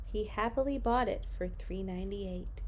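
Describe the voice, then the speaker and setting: A woman hosting children's story time, reading a storybook aloud with deliberate dramatic emphasis. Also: mid-range voice, darkish tone, slight background noise. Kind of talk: expressive storybook read-aloud.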